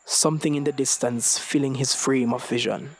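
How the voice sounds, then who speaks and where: A man's voice: medium pitch, fairly even intonation, normal speed, slightly rough, no echo, rough recording. Young man narrating, dry sound. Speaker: story reader. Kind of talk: scripted narration of a short story.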